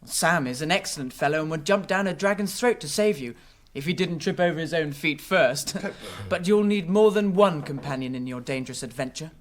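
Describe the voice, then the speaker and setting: An actor playing a jaunty, loyal, teasing young hobbit in a radio drama, with a wry, well-spoken manner. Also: male voice, a little high, fairly quick, hardly any pauses, natural voice. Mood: loyal, determined.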